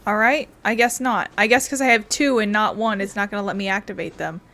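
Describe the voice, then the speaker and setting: A woman's voice: mid-range voice, steady tone, a little fast, a bit bright. A young woman giving casual, informal let's play commentary with a wry manner. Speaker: gaming video creator. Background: game music.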